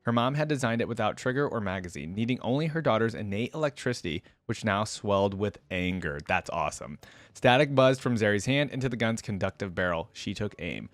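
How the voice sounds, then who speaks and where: A man's voice: lowish voice, animated, brisk, no room sound. A man reacting as he reads a story aloud, emphatic in delivery, dropping in casual commentary. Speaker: host of a reaction channel.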